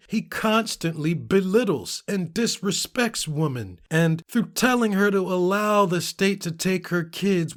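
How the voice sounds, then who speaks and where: High-pitched, highly animated man reading dramatically. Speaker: narrator of a social media commentary channel. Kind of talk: dramatic reading of online comments.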